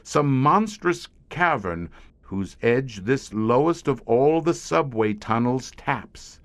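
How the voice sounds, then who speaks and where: A male actor plays a grim veteran hunter of monsters in an audio horror drama. His delivery is deliberate and slow, yet it swings widely in pitch. He speaks in ornate, haunted phrases with a dry, wry edge. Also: animated. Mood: grim, dread-filled.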